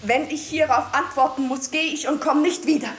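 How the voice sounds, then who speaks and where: An actress playing a haughty, hysterical teenage girl with a shrill voice in a 1950s German radio drama. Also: fairly even intonation, fairly quick, lightly acted. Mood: defiant. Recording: roomy, faintly hissy 1950s radio recording.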